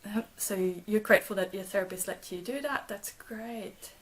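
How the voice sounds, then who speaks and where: Lady chatting on a live stream, soft and reassuring, keeps restarting her sentences, medium pitch. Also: normal speed. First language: German. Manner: gentle and reassuring, with frequent restarts and repeated words. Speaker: trauma therapist and channel host. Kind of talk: live-stream talk answering viewer comments.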